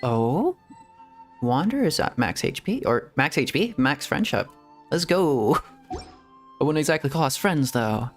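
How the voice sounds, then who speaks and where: A man's voice: on the high side, big pitch swings, measured pace, long pauses. A man streaming a video game, chatting and rambling casually and laughing often. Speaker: VTuber streamer. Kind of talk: casual gameplay stream commentary. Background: faint game music.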